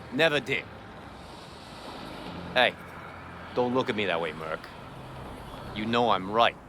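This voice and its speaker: A man's voice: mid-range voice, noisy background, lightly acted. A man playing a cynical, world-weary corrupt cop in a video game, with an American accent and scornful, lecturing street talk. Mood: scornful, defensive.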